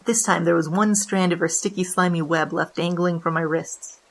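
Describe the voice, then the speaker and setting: A woman playing a wry, deadpan bandit who narrates a confession in hardboiled 1920s noir style. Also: on the low side, moderate pace, slight background noise, lightly acted. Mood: dramatic, deadpan.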